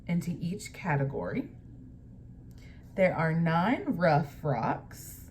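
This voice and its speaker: Slow-paced woman teacher reading aloud. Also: fairly low pitch, a little mellow, a little hiss or hum. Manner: explanatory, addressing young pupils. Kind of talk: picture-book read-aloud with explanatory asides.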